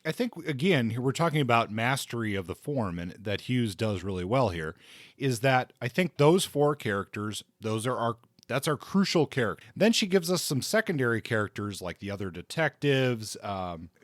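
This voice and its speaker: A man hosting a casual book discussion podcast, speaking deliberately and repeating phrases for emphasis. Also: medium pitch, animated, no echo, clean studio sound. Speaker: podcast host.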